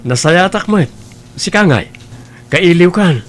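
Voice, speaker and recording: A man's voice: high voice, expressive, unhurried. A man playing an earnest, dutiful grown son in an Ilocano family drama, plain-spoken with an Ilocano accent. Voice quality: creaky. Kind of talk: Ilocano radio-style family drama acting.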